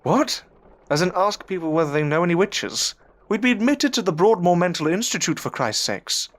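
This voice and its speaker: A man playing a troubled, earnest gentleman in a period audio drama, speaking formally and hesitantly. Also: high-pitched, expressive, moderate pace. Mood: urgent. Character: troubled, earnest gentleman haunted by newfound powers. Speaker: voice actor and narrator. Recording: noisy amateur audio drama recording.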